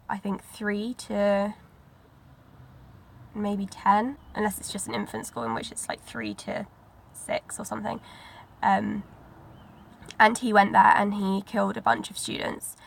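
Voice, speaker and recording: Woman vlogger, stuffy head cold, a bit of vocal fry, chatty and rambling. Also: medium pitch. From Britain. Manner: rambling, many likes and ums. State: congested from a cold, sniffly. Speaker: book vlogger. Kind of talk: casual chatty vlog.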